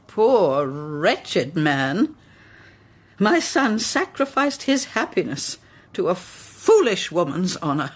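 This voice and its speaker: An older, genteel British matriarch in a period radio drama. Her voice is on the low side and husky, swinging emphatically up and down as she scorns and scolds in an imperious, outspoken way. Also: sing-song, slow, full character voice. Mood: indignant.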